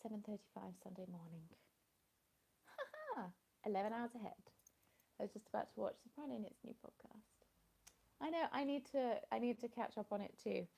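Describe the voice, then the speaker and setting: Woman, mellow gravelly voice, rambling live stream chat at home, phone mic. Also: mid-range voice, fairly quick, long pauses. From England. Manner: rambling, chatty, greeting viewers by name. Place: at home in the evening, dim light. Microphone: phone with a small plug-in mic.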